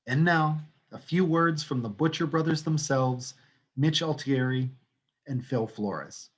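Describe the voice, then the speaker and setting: A man's voice: a little high, a little slow. A man delivering a scripted introduction speech with theatrical, wry, self-mocking asides. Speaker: theater company director and playwright introducing a livestream reading.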